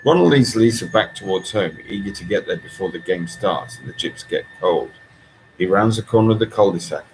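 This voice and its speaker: A man narrates a story in a low voice. His delivery is expressive, with lively movement in pitch. There is faint noise in the background. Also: a little fast, low-quality recording. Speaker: author reading own novel.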